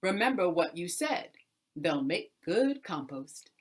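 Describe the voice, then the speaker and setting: A woman's voice: on the low side, sing-song, takes it slowly, breathy edge, exaggerated voice. A woman storyteller voicing a polite, crafty male tenant farmer in a folk tale, courteous and slyly cheerful. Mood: sly, triumphant.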